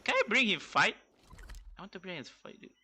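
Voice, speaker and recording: A man who speaks English as a second language, giving casual, halting, slangy commentary as he livestreams a game. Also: high-pitched, animated, sharp tone, a little hiss or hum. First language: not English. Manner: rambling, informal, slangy, halting. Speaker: livestreamer, VTuber. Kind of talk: casual live gaming commentary. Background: game music.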